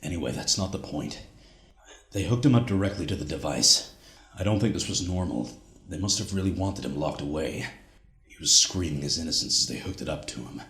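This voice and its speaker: A man's voice: low voice, lively intonation, fairly quick, a bit bright. A man acting a weary, haunted soldier who records a grave, confiding survival log in an audio drama. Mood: disturbed. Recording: echoey hall with long reverb.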